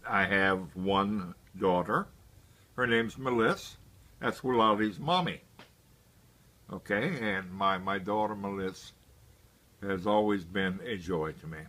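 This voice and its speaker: A man in his seventies from Hawaii recalls his life in a very low register with a bright, forceful edge. He speaks deliberately, halting often and leaving long pauses as he remembers. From Hawaii, United States. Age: 70s. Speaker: interviewee, grandfather. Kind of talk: unscripted family reminiscence.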